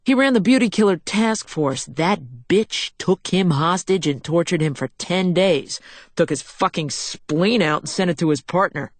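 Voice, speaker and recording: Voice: medium pitch, animated, a little slow, no room sound. A reader voicing a boisterous 65-year-old male crime boss who talks crudely and with swagger. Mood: gloating, boisterous. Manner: crude, swaggering, loud storytelling.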